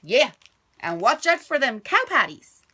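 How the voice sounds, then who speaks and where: A woman's voice: on the high side, sing-song, measured pace, husky, exaggerated voice. A woman voicing mean, bossy young cowboy stepbrothers in a storybook, barking orders. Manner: loud, barking, mocking.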